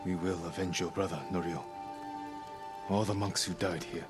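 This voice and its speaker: An actor playing an earnest, resolute samurai lord in a video game, grave and loyal, speaking in a terse, restrained way. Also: male voice, low voice, little pitch movement, normal speed, close to an everyday voice. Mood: grim determination. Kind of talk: cinematic game dialogue. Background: music.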